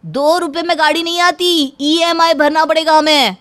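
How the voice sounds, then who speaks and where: A woman's voice: sits high, steady tone, normal speed, fairly bright tone. A woman voicing an exasperated, worried young husband in a cartoon story, weary and protesting.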